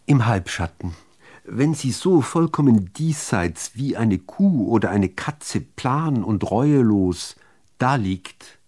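A man reciting literary poetry in deliberate, measured phrases with pauses between them. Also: fairly low pitch, a little mellow, husky. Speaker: reader of poetry.

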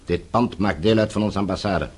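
An actor playing a calmly menacing middle-aged official in a radio play, measured, polite and condescending. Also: male voice, sits low, breathy edge. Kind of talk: Dutch radio play acting.

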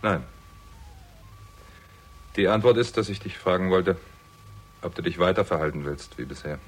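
An actor playing a sardonic, hot-tempered hard-boiled amateur sleuth in a 1960s German radio crime drama, with dry, biting delivery. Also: male voice, sits low, moderate pace, slightly rough. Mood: dry, guarded. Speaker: lead actor and first-person narrator.

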